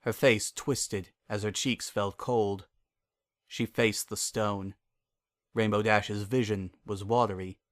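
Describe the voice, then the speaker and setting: A man's voice: fairly low pitch, steady tone, takes it slowly, no room sound, studio quality. A man narrating a scripted dramatic story.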